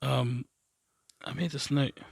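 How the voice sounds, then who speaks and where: A man's voice: medium pitch, steady tone, takes it slowly, creaky, husky, dry recording, clean studio sound. A man co-hosting a podcast in casual, slangy, cheeky sports banter. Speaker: podcast co-host.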